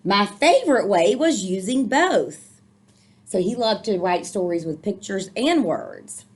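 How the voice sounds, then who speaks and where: A woman's voice: medium pitch, very animated, a little slow, husky. A woman reading a picture book aloud to children, expressively, with encouraging, explanatory asides. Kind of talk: storybook read-aloud with commentary for children.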